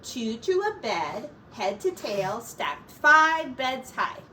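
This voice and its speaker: A woman teacher reading a children's story aloud with expressive, deliberate dramatic emphasis. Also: on the high side. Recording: distant mic with faint room noise.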